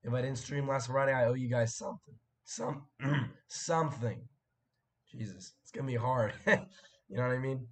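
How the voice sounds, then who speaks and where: A young man hosting a casual live stream, his voice hoarse and cracking after a sore throat, rambling and clearing his throat as he chats with viewers. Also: mid-range voice, normal speed. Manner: rambling, chatty, frequent fillers and throat clearing.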